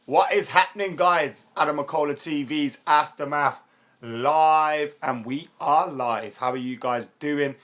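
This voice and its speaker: A young man with a hoarse, worn voice, hosting a live football chat stream, chatty and excitable and quick to laugh. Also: on the high side. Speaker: YouTube football channel host.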